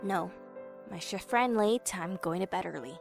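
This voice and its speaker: A woman's voice: on the low side, normal speed, gravelly, soft background music. Woman acting a curt, irritable cartoon pegasus mom. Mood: tired, curt. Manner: curt, dismissive. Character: irritable, stubborn grown mare, a weary pegasus mother. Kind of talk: animated-fantasy audio drama acting.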